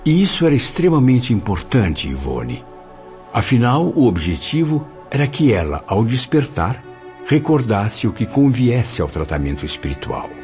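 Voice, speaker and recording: A man's voice: mid-range voice, sing-song, normal speed, darkish tone, natural voice. A Brazilian man playing a solemn, formal guide who narrates didactically in a radio drama. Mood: solemn, explanatory. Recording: dull audio with music bed.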